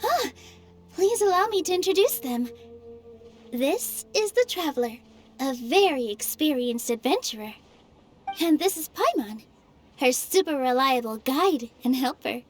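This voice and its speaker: A gentle young theater dancer with a fairly high, soft-spoken voice. She is polite, modest and a little apologetic. Faint game music plays underneath. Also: animated, measured pace. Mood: cheerful. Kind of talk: video game voice acting.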